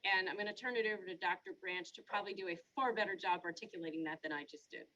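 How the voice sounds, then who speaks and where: A woman speaking as a police chief, answering questions in a virtual meeting in a measured, deferential way with pauses and restarts. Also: medium pitch, bright, forceful tone, dull audio.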